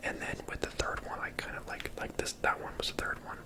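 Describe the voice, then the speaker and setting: A man whispering softly in a casual, rambling, self-deprecating ASMR commentary on his own videos. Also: fast talker. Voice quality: soft breathy whisper.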